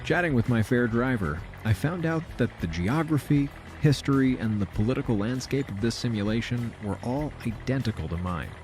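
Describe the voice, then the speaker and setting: An actor playing an uneasy, wry traveler, narrating a science fiction audio drama in a deliberate, self-mocking storytelling manner. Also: male voice, mid-range voice, animated, music in the background, lightly acted. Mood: wry, reflective. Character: uneasy, wry male traveler narrating a simulation-hopping adventure. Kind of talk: scripted first-person audio drama narration.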